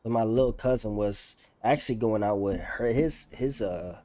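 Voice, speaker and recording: A young man talking casually over gameplay as a streamer, candid and slangy, in a halting way. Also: lowish voice, mellow, no room sound. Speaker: gaming streamer.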